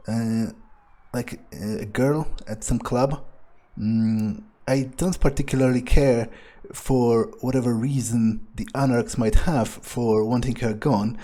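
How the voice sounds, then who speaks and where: Man playing a mellow, arrogant male vampire. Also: medium pitch, lightly acted. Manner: halting, musing, with pauses, hums and dry chuckles; disdainful and profane. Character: arrogant, cynical male vampire, cruelly amused, scheming and cold. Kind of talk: improvised in-character game roleplay monologue. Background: music behind the voice.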